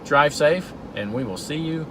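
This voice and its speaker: A man's voice: on the high side, normal speed. Middle-aged male vlogger, earnest storyteller, in-car recording with road noise. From Georgia, USA. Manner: earnest, storytelling, with repeated restarts. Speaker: vlog host. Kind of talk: casual talk while driving.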